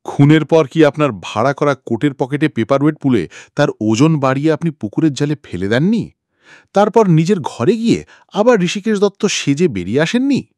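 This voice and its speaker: A man's voice: a little high, very animated, normal speed, a little mellow, dry recording, studio quality, voice pushed up. A man voicing a confident private detective in an audio story, setting out a case in a methodical, emphatic, accusing way. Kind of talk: dramatic audiobook character reading.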